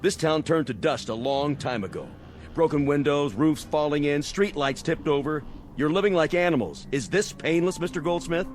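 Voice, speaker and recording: Actor as a swaggering, sarcastic army major. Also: male voice, a little high, lively intonation, moderate pace, a little hiss or hum, natural voice. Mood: scornful. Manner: sarcastic, theatrical, slangy, barking orders. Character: mocking, domineering military major, cynical and menacing.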